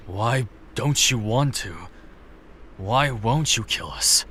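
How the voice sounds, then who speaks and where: A man's voice: medium pitch, slow, bright, forceful tone, slight background noise. A man playing a wary, terse, brooding leader in an audio drama. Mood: suspicious.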